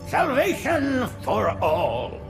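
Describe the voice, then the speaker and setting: A man's voice: wildly swooping pitch, drawn-out words, full character voice. An actor playing an ancient, pious alien priest-king in a game cutscene, with a quavering, reverent delivery. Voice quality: high, creaky and quavering. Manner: solemn, reverent sermonizing. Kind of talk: video game cutscene acting. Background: music.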